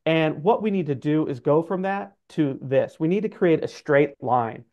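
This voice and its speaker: Higher-voiced man explaining, slightly dull audio. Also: expressive, moderate pace. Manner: informal, hedging with like and sort of. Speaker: guest, financial adviser. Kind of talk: conversational explaining. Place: office room, indoors. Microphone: video call. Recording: dull video-call audio.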